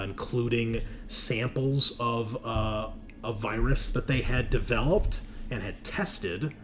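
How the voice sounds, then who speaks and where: A man with a fairly low voice acts as game master, recapping a tabletop horror game for the players. He speaks off the cuff, pausing and correcting himself as he addresses them directly. There is clear ambient noise behind his voice.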